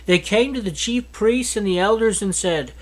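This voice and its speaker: A man reading a Bible chapter aloud in a steady, earnest manner, with the odd slip and restart. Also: sits high, normal speed, rough recording. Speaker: scripture reader.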